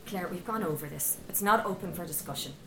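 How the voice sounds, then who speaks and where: A woman's voice: fairly low pitch, fairly quick, sharp tone, slight background noise, rough recording. An actress playing a stern, exasperated middle-aged Irish mother in a radio drama, scolding and commanding. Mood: firm. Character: stern, exasperated mother of a rebellious teenage daughter.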